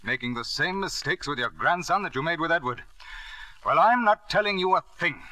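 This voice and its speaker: An actor playing a guarded, disapproving doctor in vintage radio drama, dry and reproachful. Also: male voice, a little high, expressive, brisk, bright, forceful tone, dry recording, poor audio. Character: guarded, disapproving bachelor doctor, old family friend. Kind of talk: 1940s-50s radio drama acting.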